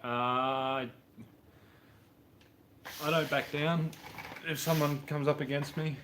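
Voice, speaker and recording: A man's voice: fairly high pitch, fairly even intonation, takes it slowly, a bit bright, a little hiss or hum. A man in his thirties with an Australian accent, chatting casually and rambling on a livestream from his car workshop. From Brisbane, Australia. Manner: laid-back, rambling, slangy. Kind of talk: casual livestream chat answering viewers. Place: home mechanic workshop, car on a hoist.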